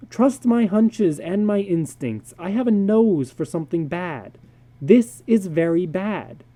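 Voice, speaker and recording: Reader voicing an emphatic male psychologist, pitched high, mellow. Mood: grave, insistent. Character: David McLean, brisk blunt worldly male psychologist.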